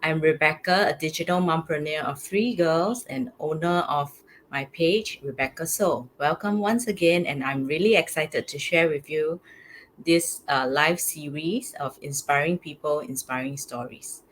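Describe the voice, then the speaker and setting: A woman's voice: sits low, measured pace, a little hiss or hum. A woman hosting a live stream interview, upbeat and full of gushing thanks and reactions. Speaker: host.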